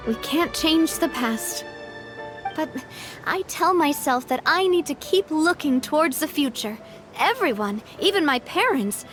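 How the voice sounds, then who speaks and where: A woman playing a brave, wistful young leader in a video game, speaking in an expressive, measured way. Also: high voice. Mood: reflective, resolute. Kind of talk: scripted game dialogue. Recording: music behind the voice. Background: game music.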